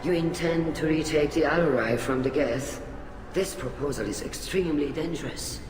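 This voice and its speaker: A man's voice: a little high, normal speed, close to an everyday voice. A man playing a grave, authoritative alien admiral presiding over a hearing in a video game cutscene, speaking formally. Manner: formal, solemn. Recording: echoey hall, music underneath.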